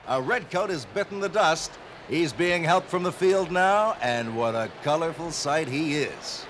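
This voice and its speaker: A man playing an excitable TV sportscaster who gives brash, booming play-by-play. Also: noisy background. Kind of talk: mock sports commentary.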